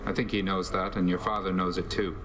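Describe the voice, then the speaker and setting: A man's voice: low voice, level tone, fairly quick, fairly bright tone. A man playing a reserved, matter-of-fact god of death in a video game, serious and formal. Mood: stern, reserved. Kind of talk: video game character dialogue.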